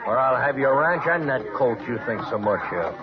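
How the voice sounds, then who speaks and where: A man's voice: medium pitch, animated, normal speed, lightly acted. Sneering, goading Western heavy played by a man, mid-range voice, radio-era sound over a busy crowd. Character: sneering, goading, hard-nosed male horse-race gambler. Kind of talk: old-time Western radio drama acting. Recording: muffled old radio recording, crowd behind.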